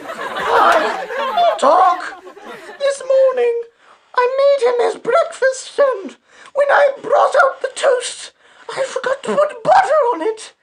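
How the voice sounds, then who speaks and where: A woman's voice: extremely high pitch, lively intonation, slow, long pauses, full character voice. A woman playing a melodramatic mother of a grown son, tearful and overwrought, in a comic radio melodrama. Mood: tearful confessing. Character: weepy, melodramatic mother of a grown murdered man.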